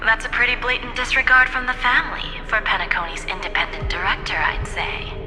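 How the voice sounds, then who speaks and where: A woman playing a poised, calculating corporate executive in a video game, speaking in a measured, formal, diplomatic way. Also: fairly high pitch, close to an everyday voice. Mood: composed resolve. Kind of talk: scripted video game voice acting. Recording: long reverb, faint music behind.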